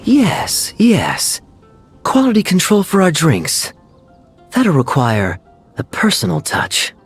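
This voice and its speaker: An actor playing a suave, teasing adult male knight in a game, smooth and given to chuckling. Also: sits high, huge pitch swings, takes it slowly, soft background music, full character voice. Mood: wry. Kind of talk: game cutscene voice acting.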